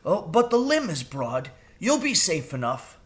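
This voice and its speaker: A man's voice: on the high side, sing-song, unhurried, pitched above the performer's own voice. A man voicing a nervous, eager male crow in a storybook, polite and hesitant, with a stammer. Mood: hushed, eager.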